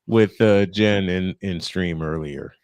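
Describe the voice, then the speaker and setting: A man working as host and play-by-play commentator, calling baseball and bantering casually between pitches. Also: takes it slowly, darkish tone, no room sound.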